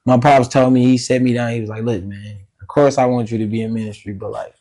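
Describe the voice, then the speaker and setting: A man with a raspy voice, a musician guest talking casually and slangily in a podcast interview, laughing often. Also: mid-range voice, fairly even intonation, fast, mellow.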